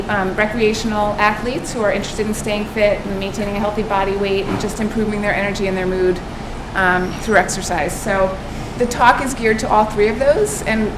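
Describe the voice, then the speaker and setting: Quiet-voiced woman giving a nutrition talk. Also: mid-range voice. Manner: soft-spoken, frequent "um" fillers. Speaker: nutrition consultant. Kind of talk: lunch-time lecture. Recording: roomy echo, air-conditioning hum.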